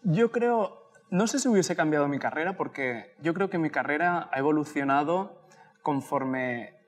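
A man in his thirties from Barcelona, a tech executive answering interview questions in a relaxed, thoughtful way with many restarts and easy laughs. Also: a little high, moderate pace. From Barcelona, Spain. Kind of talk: casual conversational interview. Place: indoor office lounge.

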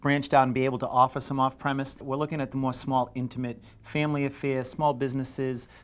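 A man's voice: medium pitch, level tone, a little fast. A man in his forties, a chef and owner, answering interview questions about his restaurant. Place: inside a restaurant bar, dining room and kitchen. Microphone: lapel mic. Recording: faint restaurant background noise.

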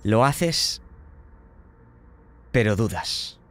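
A man's voice: fairly low pitch, sing-song, very slow. A man narrating a tabletop horror game as game master, describing scenes vividly and dramatically.

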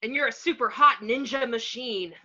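Sinister flamboyant fashion mogul read by a woman, high and theatrical, comedy table read over lo-fi video call. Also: moderate pace, sharp tone. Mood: fierce, frenzied. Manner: theatrical, sing-song, commanding.

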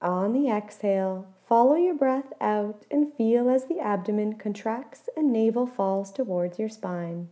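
A woman leading a guided body scan meditation, giving gentle, measured instructions and letting her phrase endings fall softly. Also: mid-range voice.

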